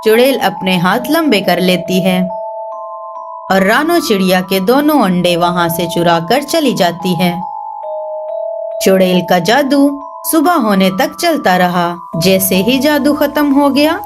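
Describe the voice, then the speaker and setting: A woman reading scripted narration for a children's cartoon story as the storyteller. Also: mid-range voice. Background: music.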